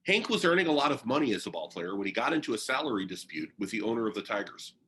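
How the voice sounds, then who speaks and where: A man's voice: lowish voice, big pitch swings, quick pace, fairly bright tone, a little hiss or hum. A man reading a biography aloud as narration, in the style of a book for young listeners.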